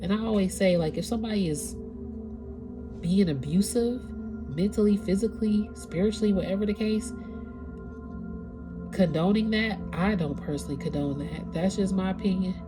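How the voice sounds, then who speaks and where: A woman's voice: mid-range voice, normal speed, long pauses, music in the background. A woman with a stuffy, congested nose, giving a casual spiritual reading to her audience and now and then searching for the right word. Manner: casual, conversational, searching for words. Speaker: tarot reader.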